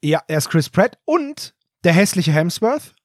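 Podcast host, man, higher-pitched, chatty and dry-humoured, dry close mic. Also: sing-song, unhurried, no room sound. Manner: chatty, hedging on names, dry jokes. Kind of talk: casual chat reviewing an audio drama.

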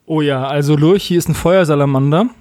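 A man's voice: on the high side, a little fast, a little mellow, no room sound, clean studio sound. A man co-hosting a podcast in casual, colloquial talk, giving frank opinions. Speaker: podcast co-host.

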